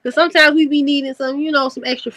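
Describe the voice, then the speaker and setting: A woman's voice: sits high, fairly even intonation, fast talker, fairly bright tone, dry recording. A woman hosting a casual livestream chat, laughing easily and talking in loose slang. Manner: laid-back, laughing, slangy storytelling. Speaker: host.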